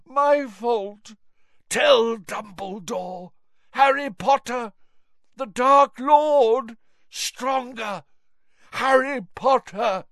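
A man voicing a delirious older man who rambles in broken, halting fragments. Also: very high-pitched, a bit bright, no room sound, voice pushed up, heavily acted.

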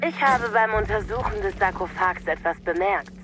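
A woman's voice: mid-range voice, normal speed, background noise, natural voice. Cold, taunting female Imperial villain, played by an actress. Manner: taunting, condescending. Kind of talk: German audio drama villain acting.

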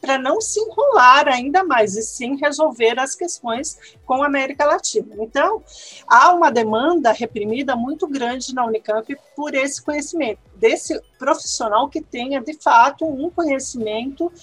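A Brazilian woman speaking in an interview, explaining at length in an animated way and tagging sentences with questions. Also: sits high, moderate pace, no echo. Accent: Brazilian Portuguese. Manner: animated, explanatory, frequent "né?" tags. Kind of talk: conversational interview.

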